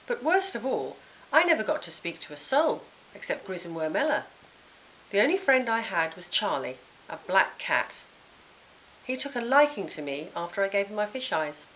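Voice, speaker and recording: A woman narrating a children's storybook in an expressive, dramatic and emphatic way. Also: mid-range voice, moderate pace, slight background noise, poor audio. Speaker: author reading their own book.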